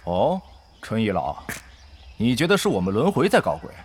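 An actor playing a proud, guarded, stubborn guild leader in an audio drama. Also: male voice, fairly low pitch, huge pitch swings, normal speed, a little hiss or hum. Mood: wary.

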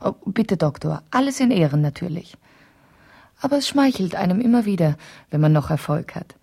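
Chatty vain wife in old german radio play, lowish mellow woman's voice, bit huffy, rambles. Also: animated, moderate pace, vocal fry, slightly rough, exaggerated voice. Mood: chatty, self-satisfied. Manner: chatty, digressive, self-justifying. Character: indignant, chatty, vain German wife separated from her husband. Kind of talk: 1970s German radio play, letter monologue.

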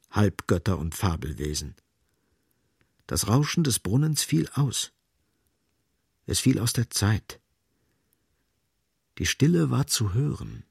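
Gravelly, expressive male radio-play narrator. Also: fairly low pitch. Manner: grave, short emphatic phrases.

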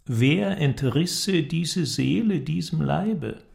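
An actor playing a sceptical, resolute inventor with a measured, ironic and formal way of talking in an audio drama. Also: male voice, fairly high pitch, lively intonation, vocal fry, a little mellow, exaggerated voice. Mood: urgent, resolute. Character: worldly, sceptical male inventor, resolute and probing. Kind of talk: German audio drama acting. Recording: music under the voice.

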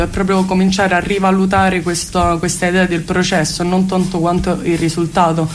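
Lowish-voiced woman, discursive, faint background noise. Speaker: guest representing a cultural collective. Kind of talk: radio interview, explanatory talk.

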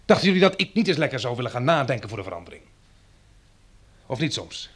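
A man's voice: mid-range voice, very animated, fast talker, a bit bright. Een man die in een hoorspel een vermoeide, prikkelbare overlever op een belegerde boerderij speelt, met een rauwe, hese stem. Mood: exasperated. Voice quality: gravelly, husky. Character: weary, irritable male survivor running a besieged farmstead. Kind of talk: radio drama acting with diary narration.

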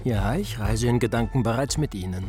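Actor as self-assured, urbane gentleman suitor. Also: mid-range voice, brisk, faint music behind, close to an everyday voice. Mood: confident, courting. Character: brisk, self-assured gentleman suitor, worldly and smooth-talking. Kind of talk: literary radio drama acting.

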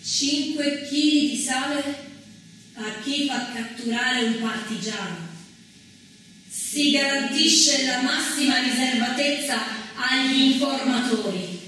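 A woman performing as an impassioned theatrical narrator of women's history, declaiming with dramatic pauses on a stage, recorded from a distance. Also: sits high, pushed and bright, exaggerated voice. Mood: grave, measured. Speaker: stage actress in a two-woman theatre play. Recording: echoey stage, distant mic, faint noise.

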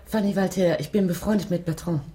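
A woman's voice: lowish voice, fast, dark tone, breathy edge. An actress playing an inquisitive, self-assured woman journalist from Paris in a radio crime drama. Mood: cool, precise.

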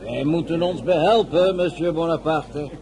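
Diplomatic male minister played by an actor, slightly high voice, formal, measured radio-drama delivery. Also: warm tone, lightly acted.

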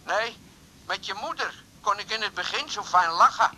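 An impulsive, sheepish middle-aged man in a radio drama, pleading his case. His voice is high for a man and very bright and sharp. He talks in halting, hesitant bursts. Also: animated, exaggerated voice, low-quality recording. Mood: rueful, chatty. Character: impulsive, sheepish older suitor calling on the phone. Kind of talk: 1980s Dutch radio drama acting.

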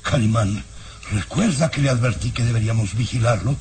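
A man's voice: medium pitch, brisk, darkish tone, slight background noise, close to an everyday voice. An actor playing a treacherous baron and father who feigns concern, in old radio drama style with theatrical, persuasive urging. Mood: urgent, feigned concern.

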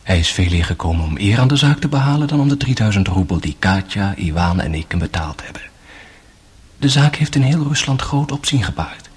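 A man narrating a radio drama as a grave, sorrowful young brother, in measured, literary phrases that sink to a hush. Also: on the low side, animated, husky.

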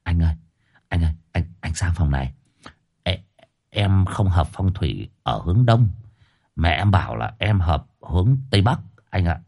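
A man doing a hushed, wheedling cheeky young man. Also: low voice, expressive, moderate pace, pitched below the performer's own voice.

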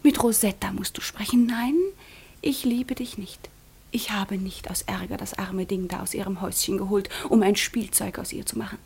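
A woman acting a proud, sharp-tongued, wounded young noblewoman in a German radio drama, theatrical, often dropping to a whisper. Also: mid-range voice, fairly even intonation, normal speed, creaky, breathy edge. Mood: bitter, accusing. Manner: theatrical, teasing, accusing.

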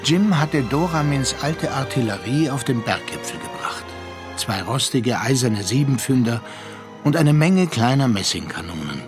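A man narrating a literary radio drama with measured, deliberate phrasing and pauses. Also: medium pitch, lively intonation, music in the background.

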